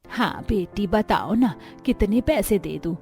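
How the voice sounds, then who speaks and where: A woman voicing a caring, protective middle-aged mother in a cartoon family story, with a soft manner. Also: mid-range voice, expressive, a little slow, soft background music. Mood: affectionate.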